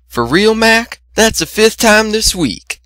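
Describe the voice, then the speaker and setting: Storybook farm pony sister, played by a man: raised pitch, folksy and chiding, exasperated but teasing. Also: sing-song, slow pace, sharp tone, no room sound, studio quality, exaggerated voice.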